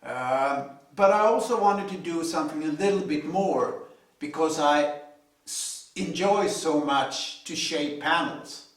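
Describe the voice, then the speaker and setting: Echoey, slow-talking Swedish man. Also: a little high. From Sweden. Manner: halting, deliberate. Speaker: hobby builder presenting own video series. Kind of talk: informal explanatory talk to camera. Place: indoor home garage workshop. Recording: echoey garage, distant microphone.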